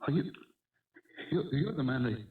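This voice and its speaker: A man's voice: medium pitch, animated, moderate pace, natural voice. An actor playing a frightened ordinary man, stammering and urgent in a radio drama. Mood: alarmed. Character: frightened, pleading ordinary man threatened by gunman. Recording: echoey, muffled old radio recording.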